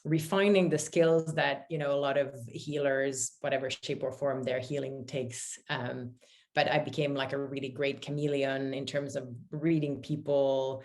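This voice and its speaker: A woman in her forties with a very deep voice speaks as an interview guest. She is from Finland and grew up in Paris. Her storytelling is candid, reflective and conversational. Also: fairly even intonation, moderate pace, a little mellow. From born in Finland, grew up in Paris, France. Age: 40s. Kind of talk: casual, reflective personal storytelling.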